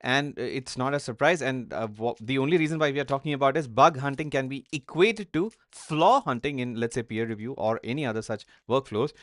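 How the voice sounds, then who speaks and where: A man's voice: mid-range voice, fairly quick, hardly any pauses, no room sound, studio quality. A man co-hosting a talk show, discussing news stories casually, with many fillers and hesitations. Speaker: podcast co-host.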